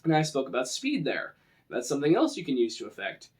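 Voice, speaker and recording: A man's voice: mid-range voice, huge pitch swings, fast talker. A man from the western United States with a Western American accent, presenting an informal, conversational lesson on tabletop roleplaying. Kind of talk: informal instructional talk.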